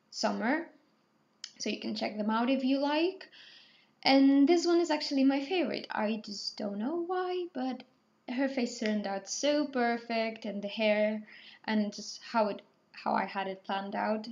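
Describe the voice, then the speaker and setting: A young woman in her twenties talks slowly and casually, her English clearly a second language. She wanders from point to point, correcting herself and filling pauses with ums. The recording sounds a little dull and lo-fi. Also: fairly high pitch, darkish tone. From Portugal, raised in Spain. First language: Spanish or Portuguese. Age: 20s. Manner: rambling, self-correcting, hesitant with ums. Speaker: artist, channel host. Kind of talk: casual talkthrough over painting.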